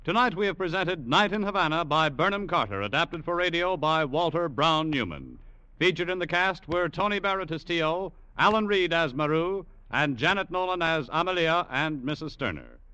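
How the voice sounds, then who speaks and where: An American male announcer from 1940s radio. His voice is bright and lively in pitch, formal and emphatic, recorded close and dry. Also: moderate pace, clear, resonant tone, no room sound, rough recording.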